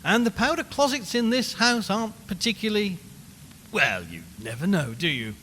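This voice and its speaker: An actor playing an unnerved radio reporter in an audio horror drama, rambling and repeating himself with forced cheer as he slowly loses his nerve. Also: high voice, sing-song, pushed and bright, exaggerated voice. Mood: tense, watchful. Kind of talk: audio horror drama, live broadcast monologue. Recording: long hollow reverb, clear background noise.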